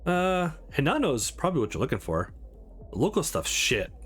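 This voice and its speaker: A man's voice: fairly high pitch, very animated, moderate pace, a bit bright, breathy edge, soft background music, heavily acted. A man voicing a brash, teasing young woman bartender from a visual novel, blunt and sassy. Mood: blunt, sly.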